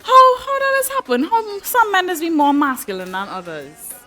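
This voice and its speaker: A woman's voice: very high voice, huge pitch swings, normal speed, bright, forceful tone, soft background music. A woman hosting a live talk show, reading out viewer comments and reacting to them in a chatty way.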